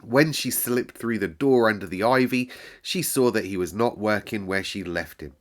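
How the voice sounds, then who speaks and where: A man reading a classic children's novel aloud in a calm, measured storyteller's way. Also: fairly low pitch.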